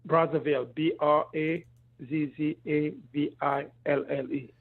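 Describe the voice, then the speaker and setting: A man's voice: a little high, steady tone, unhurried, dry recording. A man in his fifties from the Republic of the Congo, calling in to a live show and speaking hesitantly. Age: 50s. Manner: hesitant, unsure. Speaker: caller. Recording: muffled phone line.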